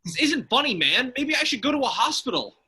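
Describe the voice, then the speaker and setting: A man playing an anxious, easily panicked delivery driver in a comic table read, with an excitable, whiny, exasperated delivery. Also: very high-pitched, fairly quick, slightly rough, heavily acted. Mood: panicked, upset.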